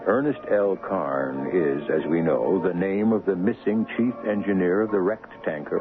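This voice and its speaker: A man playing a wry, philosophizing host, narrating a radio mystery in a measured, portentous, theatrical way. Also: sits low. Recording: tinny radio broadcast. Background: music.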